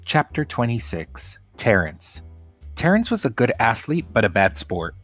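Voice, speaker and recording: A man's voice: mid-range voice, sing-song, measured pace, fairly bright tone, soft background music. A man reading a children's book chapter aloud as scripted storybook narration.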